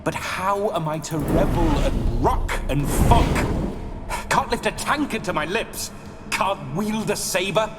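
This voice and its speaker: Swaggering ghostly rogue, slow and lively. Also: male voice, high voice. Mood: delighted, eager. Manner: theatrical, swaggering, archaic colourful speech. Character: roguish, bawdy ghost of a dead nobleman swordsman. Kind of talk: video game acting. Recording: long reverb, music behind.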